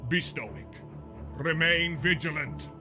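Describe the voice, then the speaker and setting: A man's voice: fairly high pitch, slow. A man playing a theatrical fantasy storyteller in a trailer, with dramatic, archaic phrasing over music. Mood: ominous, grave. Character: theatrical fantasy storyteller, hearty greeter turned ominous doomsayer.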